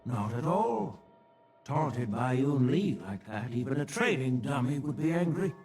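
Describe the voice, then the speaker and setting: An actor voicing a courteous, seasoned older martial master in a video game, formal and measured. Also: male voice, mid-range voice, sing-song, a little mellow. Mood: courteous, reflective. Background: game music.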